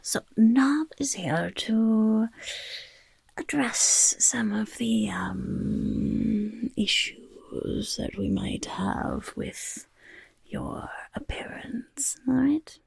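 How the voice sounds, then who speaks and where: Woman voicing a raspy, whispering goblin overlord. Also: fairly high pitch, drawn-out words, no room sound, exaggerated voice. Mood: reassuring, inquisitive. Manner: speaks of self in third person, fussy, repeats words. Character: chaotic, bossy, eager little goblin overlord. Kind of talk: soft-spoken ASMR personal attention roleplay.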